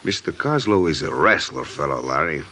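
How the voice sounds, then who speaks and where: A smooth, menacing Italian mobster with a low voice and forceful edge. He speaks broken English with calm mockery, in the manner of an old radio crime drama. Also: normal speed, exaggerated voice. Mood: calm menace. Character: menacing, smooth-talking Italian immigrant gang boss. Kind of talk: 1940s radio acting.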